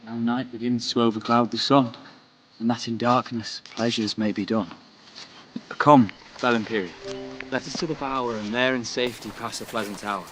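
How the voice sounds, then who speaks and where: An actor playing an ardent, gallant young soldier, speaking romantic verse playfully in a classical radio drama. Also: male voice, mid-range voice, fairly even intonation, normal speed, a bit bright, a little hiss or hum, close to an everyday voice, poor audio. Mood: tender, reassuring.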